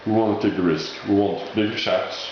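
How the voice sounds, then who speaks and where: Guy with a lowish voice explaining a product, searches for words, super echoey room, muffled sound. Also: steady tone, brisk. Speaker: product presenter explaining label machinery. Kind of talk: informal product demonstration. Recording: echoey dull room recording, faint noise.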